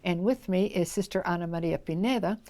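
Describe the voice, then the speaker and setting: A woman hosts an oral history interview, asking clear questions and filling in with steady mm-hmms. Her low, mellow voice has a gravelly edge. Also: fairly quick. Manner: warm, encouraging, frequent affirmations. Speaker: interviewer, host.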